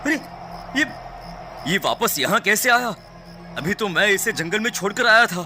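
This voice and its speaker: A man playing an anxious, protective middle-aged father in an animated horror story, earnest and dramatic. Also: high voice, moderate pace, over music. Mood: startled.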